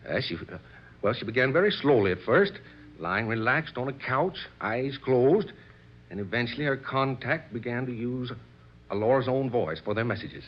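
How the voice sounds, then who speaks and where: An actor playing an excitable, courteous gentleman who is devoted to séances, in a theatrical old radio drama style with effusive, old-fashioned clubman's speech. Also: mid-range voice, moderate pace, natural voice. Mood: eager, explaining. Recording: dull old radio recording.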